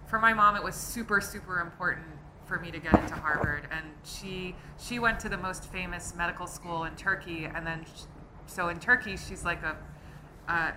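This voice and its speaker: A woman's voice: lowish voice, fairly even intonation, normal speed, background noise. A middle-aged woman author, talking at a live event in a thoughtful, rambling way with many fillers. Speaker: author being interviewed.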